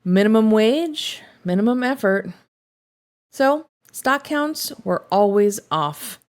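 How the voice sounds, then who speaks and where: A woman reading first-person confession stories aloud in an expressive, conversational storytelling manner. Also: mid-range voice, takes it slowly. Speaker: story reader, host of a show reading online confessions.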